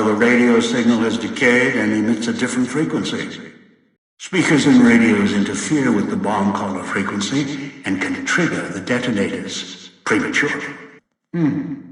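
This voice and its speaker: Man acting a menacing old captor, booming echo. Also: fairly bright tone, lightly acted. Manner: commanding, deliberate, menacing. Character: cold, controlling old villain holding people captive. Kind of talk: video game voice acting. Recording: very echoey, distant, long reverb.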